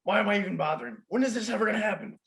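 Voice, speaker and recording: A man's voice: sits high, racing, vocal fry. A young man on stage playing an exasperated, contemptuous ship announcer, recorded with audience noise. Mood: exasperated, scornful. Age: young adult. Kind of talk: student stage acting.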